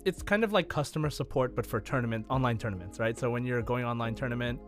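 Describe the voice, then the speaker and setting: A man's voice: medium pitch, fast. A man co-hosting a gaming podcast, chatting casually in a rambling way with music behind him. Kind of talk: casual chat.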